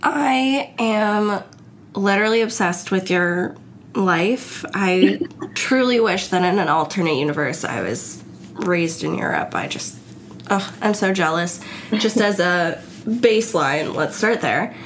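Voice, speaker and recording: A woman's voice: mid-range voice, lively intonation, slow, slight background noise. A young woman hosting an interview podcast, gushing and effusive with her guest. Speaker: podcast host.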